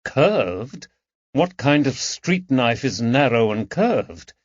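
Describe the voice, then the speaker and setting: A man voicing a composed, inquisitive detective in an audiobook, measured and probing. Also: medium pitch, big pitch swings, no room sound, highs cut off. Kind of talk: audiobook character dialogue.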